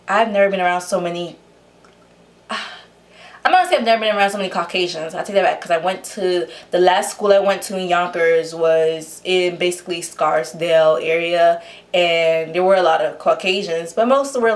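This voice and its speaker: A young woman with a New York accent, telling her life story to camera in a chatty way, full of fillers and restarts. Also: fairly low pitch. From Honduras. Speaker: vlogger.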